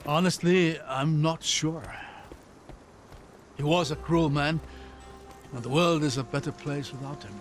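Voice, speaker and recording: An actor playing a thoughtful, kindly older scholar-adventurer in a video game, articulate and wry. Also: male voice, on the high side, unhurried, long pauses, close to an everyday voice. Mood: reflective, sober. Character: learned, kindly older male scholar-adventurer, wistful about history. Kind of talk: video game cutscene acting. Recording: loud game score behind. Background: music.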